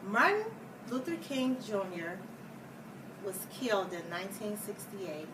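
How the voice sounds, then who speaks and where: A woman reading a children's picture book aloud like a schoolteacher, deliberately, with explanatory asides for young listeners. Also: medium pitch, very animated. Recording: distant mic, clear background noise.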